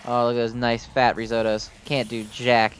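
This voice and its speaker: A man's voice: mid-range voice, monotone, moderate pace. A man giving casual, rambling gameplay commentary with a fan's enthusiasm and some mild swearing. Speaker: gamer commenting on own gameplay.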